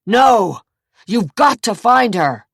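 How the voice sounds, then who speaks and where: A woman reading an audiobook, voicing an injured younger brother who shouts accusations. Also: a little high, sing-song, slow, gravelly, no echo, clean studio sound, pitched above the performer's own voice, heavily acted. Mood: furious.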